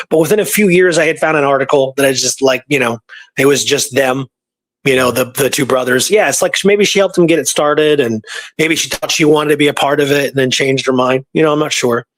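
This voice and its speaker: A man casually retelling history research from his notes, halting and informal as he reads out dates. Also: on the high side, dry recording.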